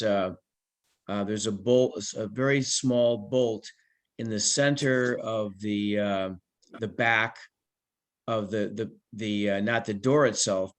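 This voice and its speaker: A man giving casual, practical repair advice on a group call, searching for words as he goes. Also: on the low side. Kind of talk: casual technical explanation. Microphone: conference call connection.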